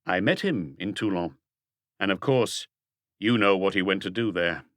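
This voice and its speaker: A man's voice: low-pitched, moderate pace, fairly bright tone, dry recording, clean studio sound. A man voicing a probing, persistent police detective who presses firmly with pointed questions in an audiobook mystery. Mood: firm, measured.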